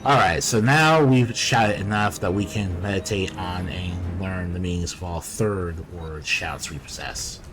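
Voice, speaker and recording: Guy w a deepish voice doing chill rambly gameplay commentary, bit of game noise behind. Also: unhurried, hardly any pauses. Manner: offhand, rambling, thinking aloud. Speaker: gamer commentating on own playthrough.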